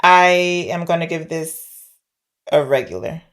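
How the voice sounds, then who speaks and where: A woman's voice: deep voice, a little slow. A woman co-hosting a podcast, chatting informally about films and thinking aloud as she goes. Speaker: podcast co-host discussing films. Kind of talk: casual film discussion.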